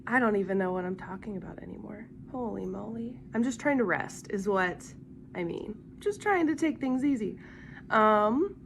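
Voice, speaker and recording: A young woman vlogging in a relaxed, rambling way, sounding congested and sick. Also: on the high side, slight background noise. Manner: rambling, chatty with fillers. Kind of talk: casual vlog chat.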